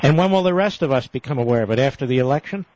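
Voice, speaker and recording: A male radio talk show host questioning a caller, probing with quick follow-ups and cutting in. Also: medium pitch, lively intonation, darkish tone, dry recording, dull audio.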